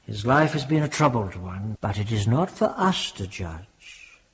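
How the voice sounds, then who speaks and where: An actor playing a kindly, pious country reverend in a radio drama, solicitous and old-fashioned in manner. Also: male voice, fairly low pitch, sing-song, a little slow, a bit bright, husky, exaggerated voice. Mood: gentle concern. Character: kindly elderly country reverend, gentle and pastoral. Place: reverberant church on location. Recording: long echo, muffled, lo-fi.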